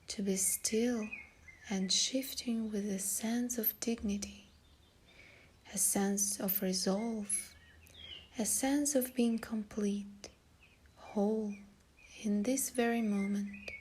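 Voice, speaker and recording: A woman leading a guided meditation in a soft, breathy, soothing delivery. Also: medium pitch, steady tone, takes it slowly, a little hiss or hum.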